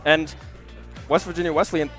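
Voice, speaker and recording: Hoarse young man calling an esports match. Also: a little high, fairly even intonation, a bit bright. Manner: fast, hedging with "I mean" and "right?". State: hoarse, losing his voice. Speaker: esports caster. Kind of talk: play-by-play commentary. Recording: faint game music behind.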